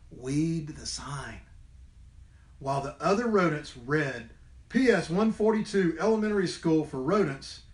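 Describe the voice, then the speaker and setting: A man reading a children's story aloud expressively, like a teacher to young listeners. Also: a little high. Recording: roomy indoor echo.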